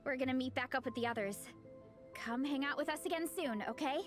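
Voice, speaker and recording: Game role: encouraging, food-loving young female athlete voiced by a woman, high-pitched, enthusiastic, music behind. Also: brisk, natural voice. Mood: friendly. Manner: upbeat, earnest, enthusiastic. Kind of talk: video game character acting.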